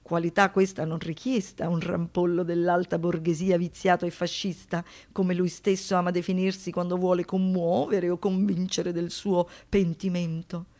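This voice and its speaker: A woman giving scripted documentary theatre narration with deliberate, expressive, pointed phrasing. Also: fairly low pitch. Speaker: stage narrator.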